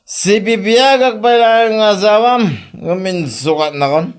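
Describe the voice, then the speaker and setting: A man's voice: high-pitched, measured pace, clean tone. A man playing an angry, betrayed husband who scolds and accuses, in a comedic audio drama. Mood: bitter, hurt.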